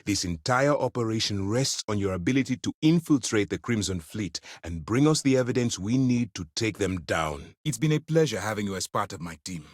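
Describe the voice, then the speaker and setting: A grave, principled commander of a space navy in a video game. His low voice carries formal, measured authority and moves through a very wide range of intonation. The recording is completely dry, with each order delivered in crisp military diction. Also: expressive, no echo. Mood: businesslike, commanding. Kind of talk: scripted video game character lines.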